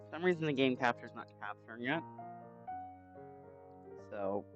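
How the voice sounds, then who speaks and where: A man chatting casually and haltingly as he hosts a livestream, speaking into a headset mic. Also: mid-range voice, lively intonation, muffled recording, music in the background. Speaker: game streamer, host. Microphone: new headset microphone.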